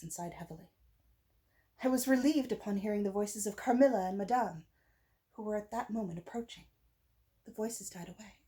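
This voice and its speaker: A woman reading a gothic story aloud as dramatic narration, with pauses and phrases that drop to a hush. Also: medium pitch. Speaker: audiobook reader.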